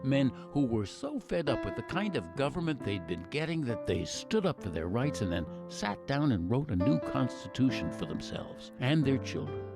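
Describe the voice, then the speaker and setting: A man with an American accent playing an earnest, reflective radio narrator, delivering a scripted patriotic monologue in a measured, oratorical way. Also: medium pitch, very animated. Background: music behind the voice.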